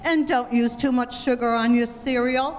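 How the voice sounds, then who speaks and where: A woman playing a patient, soothing wife and mother in an old-time radio family comedy, placating and gently firm. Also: on the high side, steady tone, normal speed, a little hiss or hum, close to an everyday voice. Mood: briskly soothing. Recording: reverberant hall stage recording.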